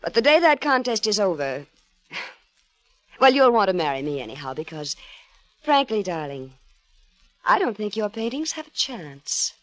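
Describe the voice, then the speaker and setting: A woman's voice: medium pitch, sing-song, moderate pace, long pauses, no echo, natural voice, poor audio. An actress playing a proud, imperious, coquettish wealthy woman in a 1950s radio drama. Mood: wounded, cutting. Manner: haughty, theatrical, commanding.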